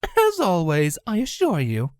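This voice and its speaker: A woman's voice: lowish voice, huge pitch swings, unhurried, a little mellow, no room sound, studio quality, voice pushed up. An aged, genteel pony mother put on by a woman narrator speaks in a raised register. Her manner is refined and assured, like a proper storybook matriarch. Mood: fond.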